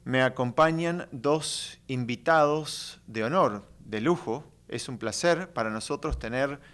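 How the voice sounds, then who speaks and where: A man's voice: medium pitch, a little slow, a bit bright. Friendly male podcast host. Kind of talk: conversational interview.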